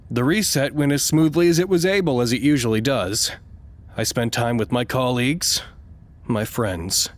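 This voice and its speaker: Low-voiced, wry male radio operator played by an actor. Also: lightly acted. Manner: measured, articulate, dryly sardonic. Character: wry, lonely, reflective male radio operator reporting to command. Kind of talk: audio drama monologue.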